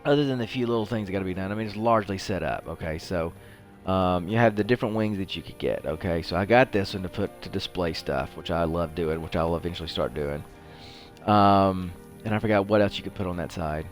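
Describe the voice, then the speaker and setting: A man's voice: sits low, fairly quick, soft background music. A man giving casual gameplay commentary, rambling and conversational as he thinks aloud. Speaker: host of a video game playthrough show.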